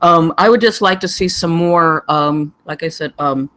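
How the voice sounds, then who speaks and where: Rambling, halting script critic. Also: medium pitch, fairly bright tone. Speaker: script feedback panelist.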